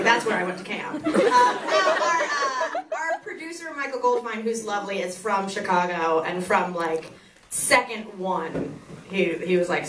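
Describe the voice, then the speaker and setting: A young woman with a Southern US accent answering audience questions on a theater stage into a handheld mic, chatty and self-mocking, laughing often. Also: on the high side. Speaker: author at a book signing. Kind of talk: live audience Q&A. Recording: echoey hall, heard from far off. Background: crowd noise.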